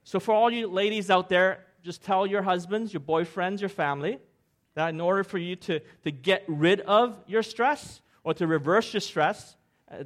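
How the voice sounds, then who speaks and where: Upbeat joking pastor from Hong Kong. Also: male voice, sits high. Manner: jokey, upbeat, asks the crowd questions. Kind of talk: sermon with audience interaction.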